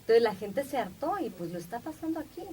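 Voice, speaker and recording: A woman's voice: on the high side, big pitch swings, fast, warm tone. A woman from Guatemala speaking earnestly as a guest in a conversational interview, repeating phrases for emphasis. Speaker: interview guest, media personality. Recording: distant mic, faint background noise.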